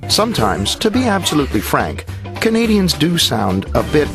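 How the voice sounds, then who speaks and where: A man reading scripted documentary narration in a wry, measured way. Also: on the high side, wildly swooping pitch. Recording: music bed behind voice.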